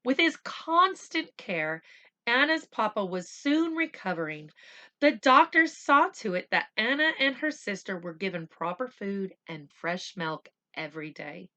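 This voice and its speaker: Female storybook reader for children, unhurried and bright, expressive phrasing. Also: mid-range voice. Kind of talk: read-aloud storytelling with simple asides.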